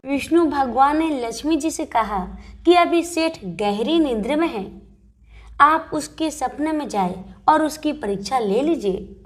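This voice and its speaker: A woman narrating scripted devotional folk tales in a deliberate, reciting manner. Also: sits high, lively intonation. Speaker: devotional storyteller.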